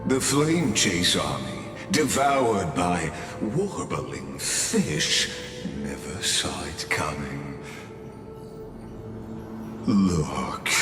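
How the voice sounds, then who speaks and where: An actor playing a sinister, mocking overseer in a video game, speaking in a theatrical, deliberate, disdainful manner. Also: male voice, deep voice, heavily acted. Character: sinister, mocking male overseer watching doomed heroes. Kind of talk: video game dramatic monologue. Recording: echoey reverberant hall, music behind.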